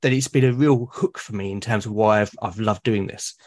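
A man from Britain, a podcast guest, talking eagerly about his characters, with lots of restarts and a slightly husky voice. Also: fairly low pitch, fairly quick. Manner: enthusiastic, rambling with many restarts. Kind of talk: casual podcast conversation.

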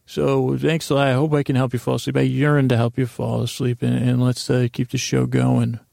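A man hosting a sleep podcast, talking in a creaky, meandering, self-deprecating monologue full of tangents. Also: mid-range voice, completely flat delivery, normal speed, darkish tone, dry recording, clean studio sound. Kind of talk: rambling monologue.

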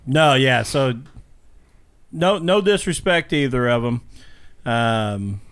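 A man hosting a casual racing podcast, animated and quick to laugh. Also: medium pitch, smooth. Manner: laughs often, animated, thanks guests warmly. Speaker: podcast host.

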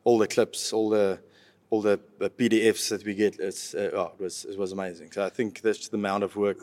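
A man with a South African accent answers casually in a low voice with very little rise and fall. He is understated and halting, often starting a phrase again. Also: flat delivery, darkish tone. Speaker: professional rugby player, podcast guest.

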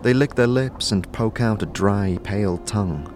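A man reading scripted audio drama narration in a measured, descriptive storytelling way. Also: fairly low pitch, a little mellow, faint music behind.

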